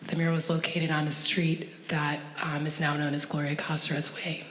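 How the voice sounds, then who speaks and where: A woman speaking slowly and steadily about her late wife, with a subdued, hesitant delivery. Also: low-pitched, monotone, a bit bright. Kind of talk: slow, measured testimony. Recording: echoey room with long reverb, faint noise.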